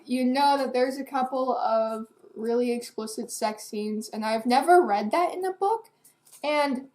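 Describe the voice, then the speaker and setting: A woman's voice: fairly high pitch, darkish tone. An eighteen-year-old woman giving a casual, rambling book review to camera in a candid, self-deprecating, hesitant way.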